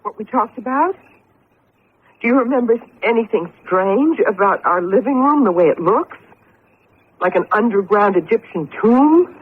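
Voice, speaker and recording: An actress playing an anxious, devoted wife in a vintage radio mystery, earnest and hesitant. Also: female voice, on the high side, animated, normal speed, close to an everyday voice. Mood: urgent, questioning. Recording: tinny, hissy old radio broadcast.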